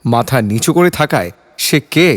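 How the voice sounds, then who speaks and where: A man's voice: mid-range voice, animated, moderate pace. A man narrating a scripted detective story in a dramatic, suspenseful storytelling style.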